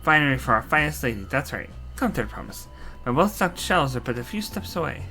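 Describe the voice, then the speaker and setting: A pushy, sweet-talking cloak seller from a video game, voiced by a man. The voice sits a bit higher than plain speech and rolls on with eager flattery. Soft game music plays behind it. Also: a little fast. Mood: wheedling. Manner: flattering, salesy sweet talk. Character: fawning merchant selling alpaca cloaks, eager. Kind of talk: fan voicing of a video game.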